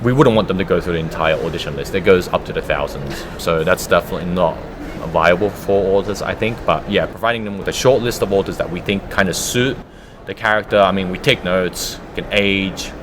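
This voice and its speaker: A man's voice: sits low, a little fast, music in the background. A man giving conversational, explanatory answers as an audiobook producer being interviewed.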